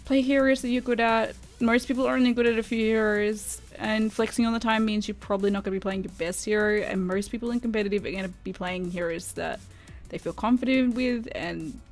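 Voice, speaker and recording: A young woman with a slightly croaky voice from being sick, giving chatty, self-deprecating gaming advice in a casual vlog. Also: fairly high pitch, steady tone, brisk, faint music behind. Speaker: gaming video creator.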